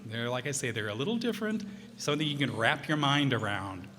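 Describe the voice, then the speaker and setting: A man giving a casual, halting, off-the-cuff introduction to a live show as its host. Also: mid-range voice, very animated, pushed and bright. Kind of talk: casual, unscripted introduction to audience. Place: performance hall before a live audience. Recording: echoey hall, live stage.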